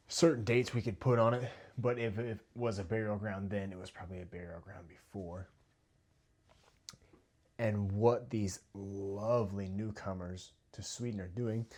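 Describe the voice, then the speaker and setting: Heated American man, slightly low voice. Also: darkish tone. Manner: heated, halting with repeated restarts and sudden drops in volume. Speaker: video commentator. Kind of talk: casual monologue commentary.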